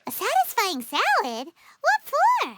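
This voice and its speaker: A woman voicing a tiny, childlike fairy companion in a video game, with a squeaky, bubbly, emphatic delivery. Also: wildly swooping pitch, slow, heavily acted. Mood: chirpy, cheeky. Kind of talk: animated game voice acting. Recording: music behind the voice. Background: music.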